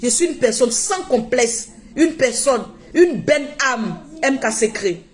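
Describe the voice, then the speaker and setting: A woman's voice: on the high side, expressive, a little slow. A woman whose first language is not French, speaking emphatically and repeating herself in a casual live-stream monologue on her phone. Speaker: social media live streamer.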